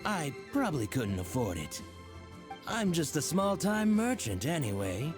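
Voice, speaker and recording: An actor playing a sly small-time merchant in a video game, with a theatrical, smooth-talking, teasing manner. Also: male voice, fairly high pitch, huge pitch swings, unhurried, music in the background. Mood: sheepish, amused. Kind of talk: video game character acting.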